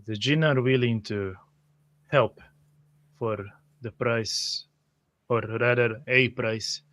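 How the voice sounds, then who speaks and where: Male tabletop player, mid-pitched and unhurried, formal polite roleplay, close dry sound. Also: fairly even intonation, a bit bright, dry recording. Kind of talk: improvised in-character roleplay.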